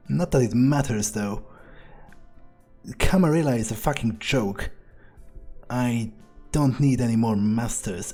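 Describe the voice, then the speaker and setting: A man voicing a weary, threatening vampire as in-character video game roleplay, dry and deliberate in manner, with a breathy voice. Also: lowish voice, mellow. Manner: deliberate, dry, menacing, swears. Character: brooding, threatening male vampire, weary and impatient.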